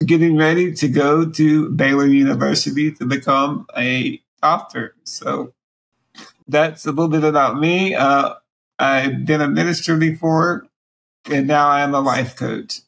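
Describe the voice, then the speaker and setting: A man in his forties with a raspy voice, speaking through a breathing machine mouthpiece and pausing often as a guest on a video call. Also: mid-range voice, steady tone, a bit bright, rough recording. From Alabama. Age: 40s. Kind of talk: candid personal interview. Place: small room at home, indoors.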